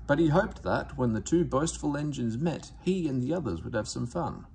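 A man reading a children's storybook aloud as narrator, in an expressive way. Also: medium pitch, normal speed, gravelly, slight background noise. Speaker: storybook reader. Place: indoors at home, book on camera.

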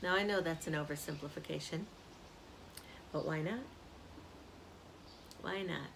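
An older woman, a community minister, giving a gentle spiritual reflection on an outdoor porch, filmed by a camera close up. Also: sits low, creaky, background noise. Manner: slow, soft-spoken, reflective. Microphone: camera at close range. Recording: outdoor ambience audible behind.

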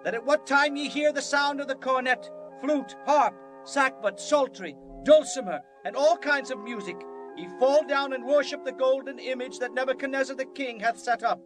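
An actor playing a royal herald in a dramatized scripture reading, crying out a proclamation. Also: male voice, extremely high pitch, normal speed, hardly any pauses, over music. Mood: commanding.

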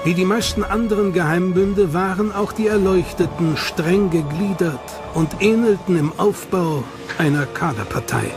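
Guy narrating a doku, high voice, steady and dramatic, music under it. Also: moderate pace, few pauses. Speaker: documentary narrator. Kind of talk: scripted documentary narration.

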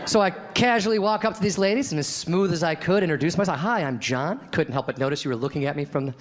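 A man in his thirties doing a deadpan stand-up routine on a comedy club stage, acting out the voices of the people in his stories, into a handheld mic. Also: on the high side, fast talker. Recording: live club recording with crowd laughter. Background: audience laughter.

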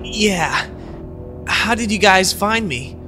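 A woman voicing a cocky young male hedgehog hero, rattled but defiant, with exasperated, expressive delivery. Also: mid-range voice, slow pace. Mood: weary. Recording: loud music behind.

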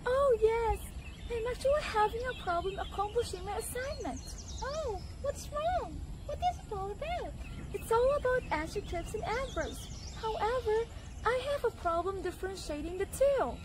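A tiny, cheerful animal puppet for a children's lesson. The voice is squeaky and extremely high, with lively swings in pitch. It sounds cutesy and friendly, and there is clear noise behind it. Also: female voice, moderate pace. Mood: earnest. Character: cheerful young female rabbit and mouse puppets, friendly and eager. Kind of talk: scripted educational puppet show.

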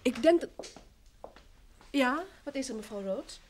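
A woman's voice: sits high, expressive, unhurried, slight background noise. An actress playing an anxious, insistent well-to-do lady in an old Dutch radio play, genteel and earnest.

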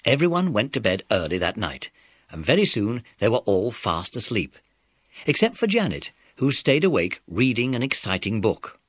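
A man's voice: lowish voice, sing-song, normal speed, no echo, studio quality. A British man narrating a scripted children's story.

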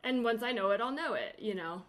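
A woman hosting a casual interview podcast, chatty and quick to laugh, talking over a video call. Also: fairly high pitch, expressive, fairly bright tone. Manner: chatty, laughs often, many fillers and restarts. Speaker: podcast host. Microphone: remote video call.

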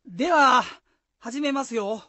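An actress playing a bossy village woman who leads gatherings in a radio folktale, with a husky voice. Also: sits high, slow, bright, forceful tone, no room sound, full character voice. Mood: hearty. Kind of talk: radio drama acting.

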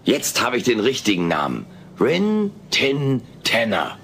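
An actor playing a wisecracking, self-centred furry alien in a sitcom audio play, sardonic and cheeky. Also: male voice, mid-range voice, wildly swooping pitch, measured pace, a bit bright, heavily acted. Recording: noisy old cassette audio.

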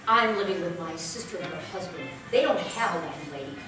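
A woman's voice: fairly low pitch, animated, a little fast, natural voice. A woman playing an anxious young singer caught up with spies, speaking urgently in a live 1940s radio play. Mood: worried. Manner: urgent, worried. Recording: echoey hall from far off with music.

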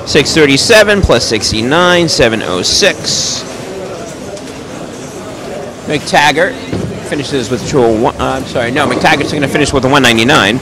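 A man giving live play-by-play commentary on a bowling match in a bowling alley. He has a loud, projecting voice and makes chatty, joking asides between calls. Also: mid-range voice, animated, moderate pace, pauses often, crowd noise.

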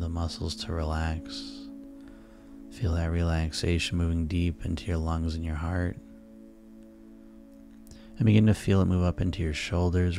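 Deep, creaky-voiced man guiding sleep hypnosis. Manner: soothing, unhurried, repetitive. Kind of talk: guided sleep hypnosis narration. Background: relaxing background music.

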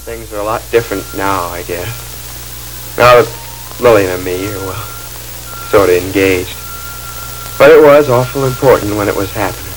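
A man's voice: fairly low pitch, normal speed, long pauses, natural voice, rough recording. A young man acting an earnest, lovestruck drugstore clerk who tells his story in folksy, hesitant, boyish phrases in a 1940s radio drama. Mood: wistful, confiding. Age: young adult. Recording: hissy old film soundtrack.

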